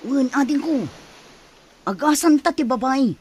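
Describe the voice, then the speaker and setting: A woman's voice: high voice, expressive, unhurried. A woman playing a caring, cautious older sister in an audio drama, concerned and giving directions.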